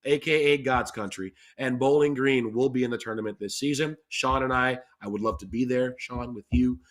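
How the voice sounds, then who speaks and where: A man's voice: medium pitch, fairly quick. A man talking animatedly as a sports podcast guest, with an emphatic, playful delivery like a salesman's. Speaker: guest, radio play-by-play announcer.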